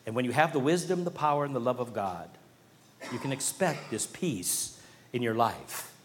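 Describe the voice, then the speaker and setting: A man preaching a sermon, swelling to emphatic points and dropping to quiet, earnest asides. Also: medium pitch, expressive, moderate pace, in a large hall, slight background noise. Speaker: pastor.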